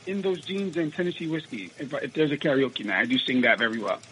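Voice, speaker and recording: A raspy-voiced young man from the United States, an actor answering interview questions in a casual, rambling way with many restarts. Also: mid-range voice, fast, a bit bright, slight background noise, low-quality recording. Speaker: actor guest.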